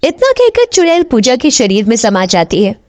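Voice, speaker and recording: A woman's voice: on the high side, wildly swooping pitch, moderate pace, a little mellow, no room sound. A woman giving scripted narration for a horror cartoon story.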